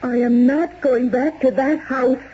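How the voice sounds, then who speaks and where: A woman's voice: high-pitched, fairly even intonation, measured pace, warm tone. An actress playing a distraught, suspicious woman in a 1970s radio drama, dramatic and challenging. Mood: suspicious, defiant. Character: distraught, suspicious woman wrongly suspected of murder. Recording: dull, noisy old radio recording.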